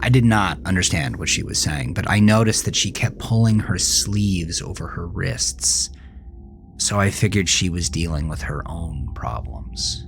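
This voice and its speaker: Horror podcast lead: troubled teen boy narrator, lowish, slow, brooding confessional delivery over a music bed. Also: lively intonation, gravelly, full character voice. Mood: uneasy, confiding. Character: troubled teenage boy narrating his own horror tale. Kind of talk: dramatic first-person horror audio narration.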